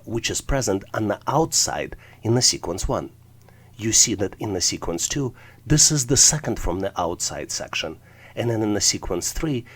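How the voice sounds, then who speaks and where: A man's voice: fairly low pitch, slightly rough. A man narrating an explanatory tutorial as an instructor, walking through things methodically, step by step. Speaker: test-prep instructor.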